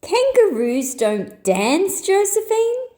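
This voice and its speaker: A woman's voice: very high voice, wildly swooping pitch, slow, warm tone, pitched above the performer's own voice, heavily acted. A woman voicing a scornful young boy kangaroo, a bossy little brother, scolding emphatically in a children's storybook. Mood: scornful, insistent. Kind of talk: children's storybook read-aloud.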